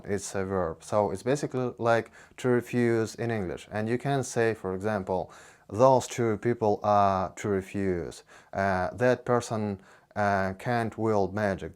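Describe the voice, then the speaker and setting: A man with a Russian accent, a native Russian speaker, giving an informal explanation in slightly hesitant English as the host of an educational video. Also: fairly low pitch.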